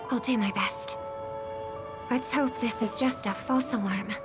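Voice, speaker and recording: Gentle young female game character, high voice, slow measured delivery, soft and pensive, music behind. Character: gentle, worried young woman singer, devoted little sister. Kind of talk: game voice acting, soft dramatic dialogue. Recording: background music under the voice.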